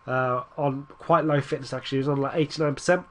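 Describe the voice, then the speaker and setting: A man's voice: medium pitch, fairly even intonation, quick pace. A young man with a stuffy nose from a cold, rambling through casual commentary over a football management game and urging his team on. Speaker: gaming YouTuber.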